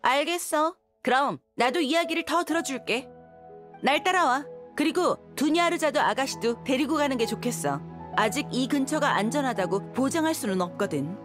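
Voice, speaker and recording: A woman's voice: high-pitched, expressive, moderate pace, music in the background. An actress voicing a confident, seasoned young mercenary swordswoman in a video game, frank and self-assured. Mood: firm.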